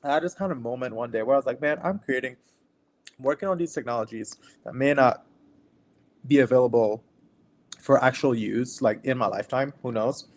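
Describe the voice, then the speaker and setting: A man's voice: mid-range voice, a little fast. A young man from Cameroon with a mid-range voice speaks as a guest physician. He tells his own story casually, in loose conversational phrasing, often checking in with the listener. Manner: informal, conversational, often says "like" and "right?". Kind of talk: casual personal storytelling in an interview.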